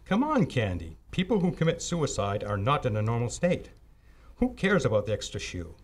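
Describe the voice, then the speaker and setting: A man's voice: mid-range voice, wildly swooping pitch, moderate pace. A middle-aged man playing a dismissive, overworked police detective in a 1940s-style radio drama, brusque and matter-of-fact. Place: live on a stage before a curtain. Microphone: stand microphone.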